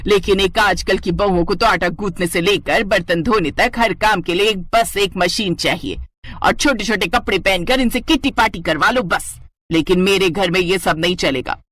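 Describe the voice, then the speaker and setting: A woman's voice: mid-range voice, normal speed, a bit bright, no room sound, close to an everyday voice. A woman playing a nagging, old-fashioned older mother-in-law in a moral story cartoon, indignant and exclaiming. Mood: bossy, scolding.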